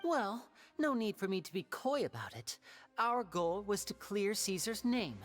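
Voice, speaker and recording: A woman playing a suave, calm young male stage magician in a video game, speaking in a polished, theatrical way. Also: mid-range voice, measured pace. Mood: coolly confident. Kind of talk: dramatic video game voice acting. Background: background game music.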